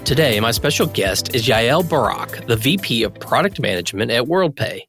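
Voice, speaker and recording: A man's voice: mid-range voice, animated, normal speed, a bit bright, soft background music. A man hosting a podcast, reading an upbeat, welcoming introduction and then asking his guest questions. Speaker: podcast host. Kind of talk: scripted interview intro.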